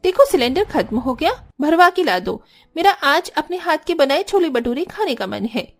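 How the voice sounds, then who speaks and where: A woman's voice: high voice, big pitch swings, normal speed, pitched above the performer's own voice. A woman voicing a haughty, quarrelsome sister-in-law in a cartoon story, with a taunting, scornful manner. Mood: demanding. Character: haughty, spiteful elder sister-in-law, housewife. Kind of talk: exaggerated Hindi cartoon story acting.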